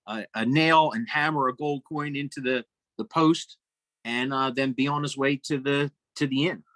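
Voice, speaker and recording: A man playing a tabletop role-playing game, casually describing his character's actions in a halting, self-correcting way. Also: a little high, pushed and bright, dry recording.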